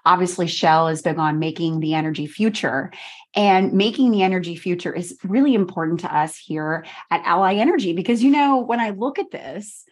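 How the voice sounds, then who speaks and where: Effusive woman hosting an interview. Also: mid-range voice. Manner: effusive, praising, conversational. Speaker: interview host. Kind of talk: interview conversation.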